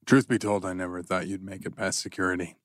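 A woman's voice: very deep voice, steady tone, fast, dry recording, clean studio sound. A woman reading the part of a resigned, decent young boyfriend in a TV drama. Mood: resigned, brisk. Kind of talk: TV drama script table read.

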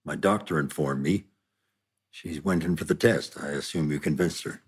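A man playing a proud, wealthy older father, grudgingly tender, in a drama table read, with a husky voice. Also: very deep voice, moderate pace. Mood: dry, guarded.

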